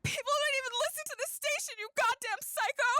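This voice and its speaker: A woman playing a tense, exasperated radio co-host in an audio drama, sharp, pleading and insistent. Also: very high-pitched, quick pace, dry recording, clean studio sound. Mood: outraged.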